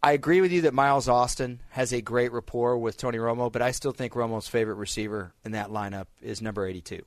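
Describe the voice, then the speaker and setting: A Texan man co-hosting a sports radio show, eager and packed with detail. His voice is mid-pitched and sounds dry and close to the mic in the studio. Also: fast talker, no echo. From Texas. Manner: enthusiastic, recalls stats in detail. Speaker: radio co-host.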